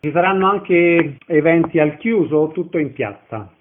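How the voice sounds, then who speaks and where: A man's voice: a little high, animated, moderate pace, noisy background. A man asking questions as an interviewer in an informal conversation. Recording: narrow, tinny phone line.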